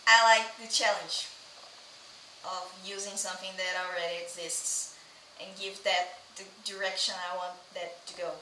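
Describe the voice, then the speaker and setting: A woman's voice: fairly low pitch, flat delivery, normal speed, sharp tone. A young woman of twenty with a Brazilian Portuguese accent, speaking carefully phrase by phrase in an interview. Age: 20s. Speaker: fan interviewee. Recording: echoey room, distant mic.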